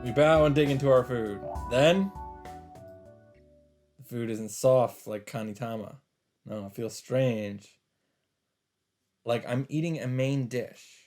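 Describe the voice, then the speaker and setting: Male let's play host, mid-range, casual and mock-dramatic narration, clear game music underneath. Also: mid-range voice, takes it slowly, long pauses, a little mellow. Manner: mock-dramatic, interjects swearing and side remarks. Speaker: let's play host reading a visual novel. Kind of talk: reading game narration aloud with casual commentary. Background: visual novel background music.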